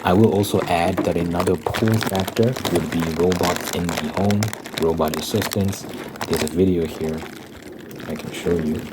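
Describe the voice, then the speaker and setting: Male ASMR host, very low register, rambling read-aloud with commentary, loud clicky, crinkly background noise. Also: steady tone, moderate pace. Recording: noisy, with clicking, typing and crinkling sounds.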